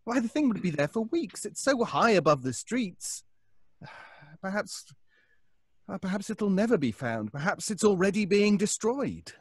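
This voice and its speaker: An actor playing a nervous, obsessive neighbor in an old-time radio suspense drama, stammering and pleading. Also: male voice, high-pitched, big pitch swings, unhurried, husky, dry recording, clean studio sound, exaggerated voice. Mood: anguished, nervous. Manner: stammering, hesitant, breaking off.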